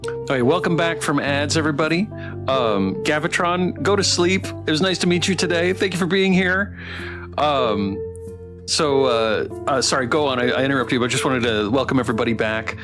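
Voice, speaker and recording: A male streamer hosts a casual Q&A in a mid-pitched voice. He is effusive and apologetic in manner, and music plays clearly behind him. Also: normal speed. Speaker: streamer and host. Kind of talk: casual livestream Q&A.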